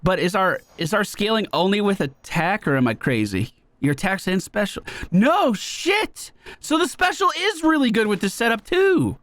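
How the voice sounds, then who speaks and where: A man giving casual gameplay commentary in an excitable way, given to swearing when thrilled. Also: sits high, moderate pace, dry recording. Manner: excitable, profane exclamations. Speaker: gaming video host. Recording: game music behind voice. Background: video game music.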